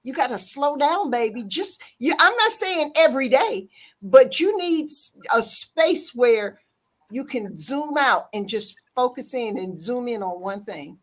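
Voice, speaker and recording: A woman's voice: on the high side, expressive, a little slow. A woman hosting a live stream chat, coaxing and soothing, calling her listener sweetheart and baby as she gives advice. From a big city.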